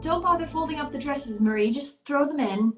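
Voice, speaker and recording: A woman plays a terrified wife in an old-time radio thriller. Her voice is high, breathless and pleading. She falters as she speaks, fearing for her life. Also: animated, a little fast, roomy sound, over music. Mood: hurried, nervous.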